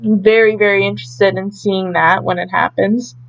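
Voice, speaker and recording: A woman's voice: mid-range voice, fairly even intonation, moderate pace, creaky. A woman hosting an idol news update as a casual recap, chatty and a bit hesitant as she thinks aloud, on a slightly dull home recording.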